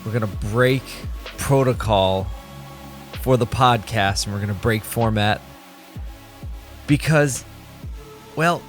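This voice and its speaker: A man hosting a solo podcast from his office desk, slightly hoarse and low on energy, talking in a rambling, candid way and pepping himself up as he goes. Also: takes it slowly, pauses often, faint music behind. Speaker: podcast host. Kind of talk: casual solo podcast talk.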